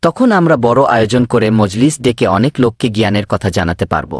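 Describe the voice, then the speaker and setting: A man's voice: fairly low pitch, very animated, fast, slightly rough, no room sound, clean studio sound. A man voicing an eager village man in a cartoon, earnest and persuasive as he lays out plans. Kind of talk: cartoon voice acting.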